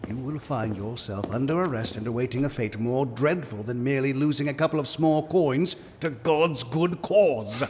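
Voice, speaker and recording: An actor playing a haughty, sarcastic reeve who drawls at peasants in a medieval audio drama. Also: male voice, medium pitch, moderate pace, few pauses, a little mellow, a little hiss or hum, natural voice. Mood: weary, threatening. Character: sneering, impatient reeve collecting taxes from peasants. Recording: long hall reverb, music underneath.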